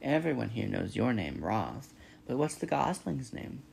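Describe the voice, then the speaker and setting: A man voicing an old gray female goose in a storybook, brisk, scolding and bossy, with indignant, emphatic squawking. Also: medium pitch, very animated, gravelly, a little mellow, slight background noise, heavily acted. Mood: matter-of-fact.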